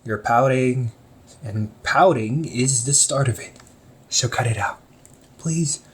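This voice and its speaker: A man's voice: medium pitch, slow, a little hiss or hum. A young man playing an easygoing shop owner talking to his sibling in a one-sided audio roleplay, teasing and laughing often. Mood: scolding.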